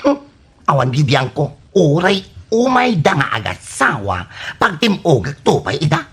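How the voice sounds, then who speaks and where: A performer plays a scornful, nagging scolder in an Ilocano comedy radio drama. The delivery swings widely and moves slowly, with a raspy edge and an Ilocano accent, full of taunts. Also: mid-range voice, very animated, fairly bright tone, exaggerated voice. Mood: taunting.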